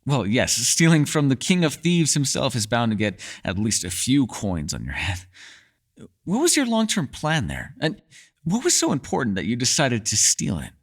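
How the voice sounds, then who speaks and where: A man's voice: mid-range voice, huge pitch swings, normal speed. A man playing a mysterious, erudite elf in a fantasy ASMR roleplay, speaking in a playful, teasing, slightly formal way. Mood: intrigued, teasing.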